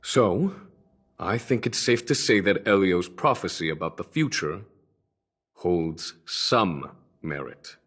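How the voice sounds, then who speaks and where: A man voice actor playing a shrewd, calm general in a video game, speaking in a measured, unruffled way. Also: low-pitched, breathy edge, exaggerated voice. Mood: composed. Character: composed, shrewd male general, calm strategist. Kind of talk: scripted video game voice acting.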